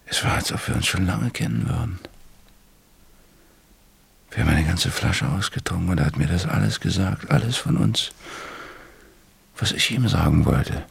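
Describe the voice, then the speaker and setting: An actor playing a troubled, tipsy married teacher in a radio drama, speaking in a halting, confiding way. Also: male voice, animated, vocal fry, slightly rough, heavily acted. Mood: confiding, dazed. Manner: halting, low-key, confiding. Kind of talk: 1970s German radio play acting.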